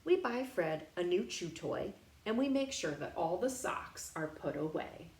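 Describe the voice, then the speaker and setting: Animated, creaky-voiced woman reading to children. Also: on the low side, a little slow. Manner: playful, expressive, addressing young listeners directly. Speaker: storytime reader for young children. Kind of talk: animated picture-book read-aloud with questions to children. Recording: roomy echo, faint background noise.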